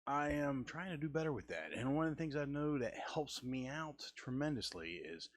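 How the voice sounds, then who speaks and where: A man in his forties hosting a casual art show, talking in a halting way with frequent stammers and restarts. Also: sing-song, dry recording. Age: 40s. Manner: halting, restarts and stammers, deliberate lowered delivery. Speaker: host. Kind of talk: casual art-stream chat.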